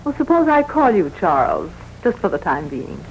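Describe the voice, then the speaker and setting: A friendly nurse in an old radio drama. Her gentle, coaxing voice rises and falls expressively. The recording carries a faint hiss. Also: fairly low pitch, sing-song, moderate pace, vocal fry, rough recording. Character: kind, friendly female hospital nurse. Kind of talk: 1940s radio drama acting.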